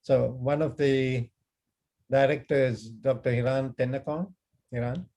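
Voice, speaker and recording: A man with a Sri Lankan accent moderates an online webinar in an informal way. His voice is mellow and of medium pitch as he hands over to colleagues. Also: a little slow. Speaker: moderator introducing board members. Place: video call webinar.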